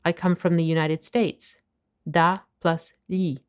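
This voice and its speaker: A woman narrating a scripted language lesson, explaining phrases clearly and at a measured pace. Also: fairly low pitch, level tone. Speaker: language teacher.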